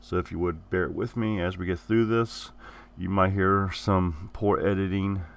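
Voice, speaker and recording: Gaming host, low male voice, a bit rough from the flu, rambles and restates himself. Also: flat delivery, a little slow. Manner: rambling, repeats and corrects himself. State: hoarse, getting over the flu. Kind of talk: casual giveaway announcement.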